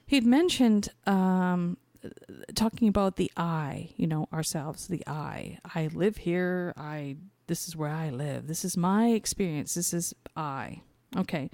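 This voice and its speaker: A woman's voice: lowish voice, a little mellow, no echo. A woman podcast host talking in a casual, rambling spiritual monologue, conversational and thinking aloud as she searches for her words.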